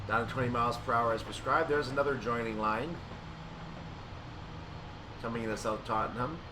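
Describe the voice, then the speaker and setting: A man giving casual commentary on a train simulator, thinking aloud and explaining in an unhurried way. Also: medium pitch. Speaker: gaming video creator. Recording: distant mic, clear background noise.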